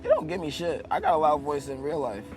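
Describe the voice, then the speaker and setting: A man's voice: fairly high pitch, animated, fast talker, darkish tone, faint music behind. A man, a rapper guest, talking bluntly and profanely in a casual interview. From Albany, New York.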